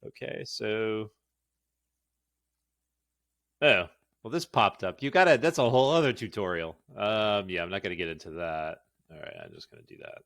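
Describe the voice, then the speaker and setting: A man's voice: fairly low pitch, fairly quick, a bit bright, no echo, clean studio sound. A middle-aged man rambling casually through a product unboxing in a goofy, grumbling, mildly profane way. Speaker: unboxing video host.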